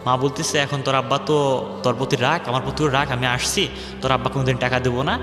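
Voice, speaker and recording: A man's voice: mid-range voice, steady tone, quick pace. A young man telling his life story on a radio show, rambling and acting out what others said. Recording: echoey hall-like reverb, music underneath. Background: background music.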